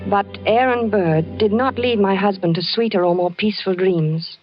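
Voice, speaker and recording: An actress playing a worried, devoted wife who narrates in a 1940s radio drama. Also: female voice, medium pitch, normal speed, natural voice. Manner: anxious, coaxing. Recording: dull old radio broadcast with music.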